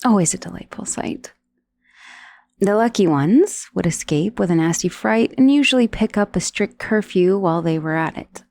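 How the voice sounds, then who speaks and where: A woman's voice: mid-range voice, animated, moderate pace, vocal fry, a little mellow, no room sound. Woman voicing a wry undead severed head, dry close recording. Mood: gleeful, menacing. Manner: conversational, dryly sardonic, with sighs and hesitations. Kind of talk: ASMR roleplay monologue.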